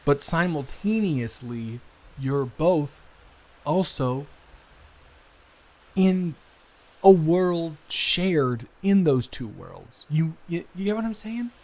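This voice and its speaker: A man playing an awkward, earnest young man in an improvised intimate roleplay, hesitant, rambling and quick to apologize. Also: fairly high pitch, gravelly, darkish tone, exaggerated voice. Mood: excited, earnest. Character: awkward, earnest, smitten young man, best friend's brother.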